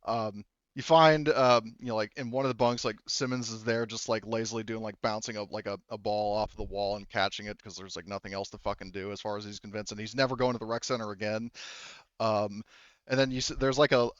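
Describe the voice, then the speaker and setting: A man improvising tabletop game narration as game master in an informal, halting, sweary style. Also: fairly low pitch, level tone, smooth, no room sound, dull audio.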